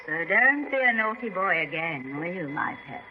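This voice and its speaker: An actress playing a doting, quietly vengeful wife in a radio drama, cooing deliberately with baby-talking condescension. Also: female voice, lowish voice, very animated. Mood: gloating, menacing. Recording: muffled, very noisy old radio recording.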